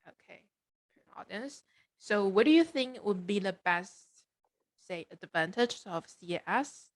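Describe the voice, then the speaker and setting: A woman's voice: medium pitch, animated, unhurried. A woman hosting an informational interview, asking questions conversationally with loose, roundabout phrasing. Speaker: host, interviewer, education agency regional manager. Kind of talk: conversational interviewing at an education fair talk.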